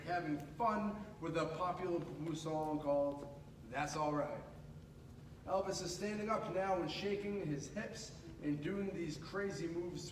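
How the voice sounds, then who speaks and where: A high-voiced man reads a storybook aloud with dramatic pauses and drawn-out emphasis. He is some distance from the mic in an echoey room, with clear noise behind him. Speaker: radio host reading a storybook aloud. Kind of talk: animated read-aloud of a children's picture book.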